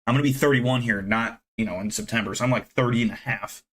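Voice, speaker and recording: Thirties male streamer, loose profane chatter, mid-range pitch, self-deprecating. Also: fast talker, fairly bright tone. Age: 30s. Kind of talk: casual live-stream chat with viewers.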